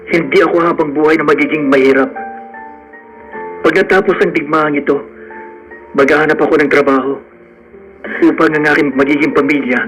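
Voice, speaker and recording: A man's voice: fairly high pitch, fairly bright tone, natural voice. A man acting in a Filipino radio drama as an earnest, poor young suitor, lovelorn and proud, speaking solemnly. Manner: heartfelt, solemn declarations.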